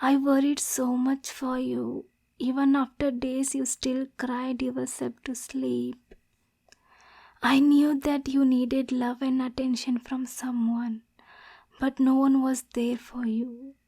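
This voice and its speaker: Woman acting as yandere demon girl, high voice, slow pace, lovesick, possessive, volatile, ASMR roleplay. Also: little pitch movement, mellow, exaggerated voice. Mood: tender, sorrowful. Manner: breathy, clingy, swinging between tender and domineering. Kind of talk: ASMR roleplay addressed to the listener.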